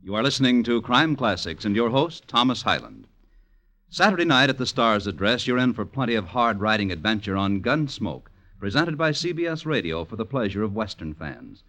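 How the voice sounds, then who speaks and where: Very animated 1950s radio announcer man. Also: lowish voice, moderate pace. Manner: polished promotional delivery.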